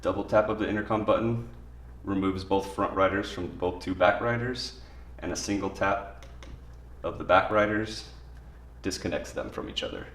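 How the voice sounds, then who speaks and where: Male tutorial presenter, low-pitched, plain informal delivery, echoey room sound with faint background noise. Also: moderate pace, a bit bright, far from the mic. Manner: plain, informal spoken explanation. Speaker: product demonstrator. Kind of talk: instructional product tutorial, step by step.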